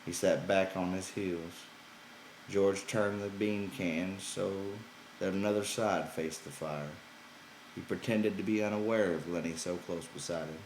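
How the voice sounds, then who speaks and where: A man's voice: sits low, steady tone, measured pace, darkish tone, roomy sound, slight background noise, rough recording. A man reading a novel aloud as scripted audiobook narration. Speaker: audiobook reader.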